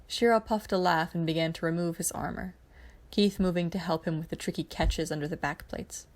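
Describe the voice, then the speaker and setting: A woman reading a science fiction story aloud as scripted narration, with a creak in her voice. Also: lowish voice, steady tone, a little fast.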